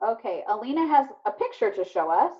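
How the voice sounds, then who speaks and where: A woman's voice: a little high, big pitch swings, brisk. A woman teacher talking casually and encouragingly to children on a video call, often praising and affirming them. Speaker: elementary teacher hosting an online read-aloud. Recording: muffled, band-limited video call audio.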